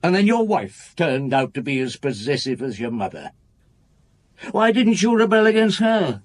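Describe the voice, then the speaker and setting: An actor playing a shrewd, relentless police chief inspector in a radio drama, probing and measured as he interrogates. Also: on the high side, sing-song, no echo. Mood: probing, scornful. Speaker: actor in lead detective role.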